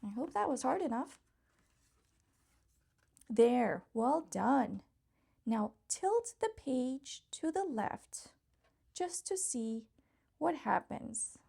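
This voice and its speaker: Female children's read-aloud host: high, swooping delivery, unhurried, encouraging, talks straight to little listeners with a touch of husky fry. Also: very animated, warm tone. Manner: encouraging, coaxing, addressing small children directly; playful, exclamatory reactions. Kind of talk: interactive children's picture book read-aloud.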